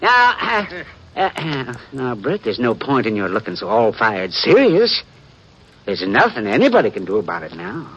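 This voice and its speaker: An American actor playing a boastful, garrulous small-town western dentist and posse leader in a 1950s radio drama, with a rustic twang. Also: male voice, medium pitch, huge pitch swings, moderate pace, fairly bright tone, husky, exaggerated voice. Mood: placating. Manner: folksy, garrulous, self-satisfied. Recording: muffled 1950s radio recording, faint noise.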